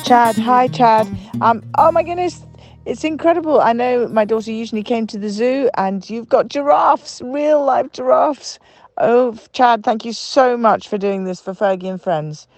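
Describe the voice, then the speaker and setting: Effusive woman hosting, higher voice, music behind. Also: unhurried, a little mellow, no room sound. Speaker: storytime show host. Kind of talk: gushing spoken introduction. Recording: dry voice over background music.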